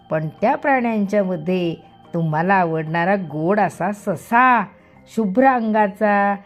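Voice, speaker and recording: A woman narrates a children's story at a slow pace and a medium pitch. She is expressive, stretching and repeating words for emphasis. Music plays behind her voice. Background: background music.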